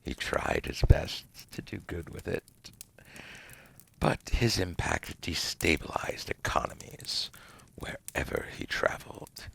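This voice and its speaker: An ancient devil acted by a man murmurs in an extremely deep, gravel-laden voice that often drops into breathy whispers. He is slow and deliberate, smug and seductive, with silky menace and formal, theatrical phrasing. It plays as intimate ASMR roleplay. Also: a bit bright, exaggerated voice, low-quality recording. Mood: measured, knowing. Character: seductive ancient male demon dealmaker, smug, silkily menacing.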